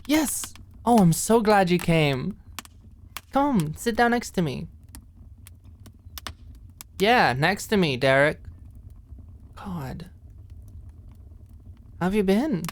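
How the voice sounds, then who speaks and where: Woman acting feisty popular camp girl for asmr rp, young adult, lowish voice, super animated, casual n halting, protective. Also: a little hiss or hum. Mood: warm, flustered, then defiant. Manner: casual, halting, swearing, emphatic.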